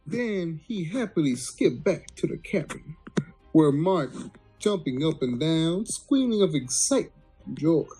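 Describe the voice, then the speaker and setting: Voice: mid-range voice, sing-song, measured pace, mellow, husky, faint music behind, low-quality recording. A person giving a crude, mock-dramatic reading of a comic story, sometimes breaking off into blunt asides. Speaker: amateur story reader.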